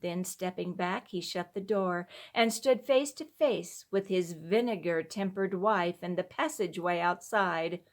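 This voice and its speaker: A woman storyteller giving a measured, expressive scripted narration of a classic tale. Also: fairly low pitch.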